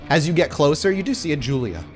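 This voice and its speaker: A man improvising narration as game master of a tabletop game, acting out bystanders in an animated way. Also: fairly high pitch, quick pace, music in the background.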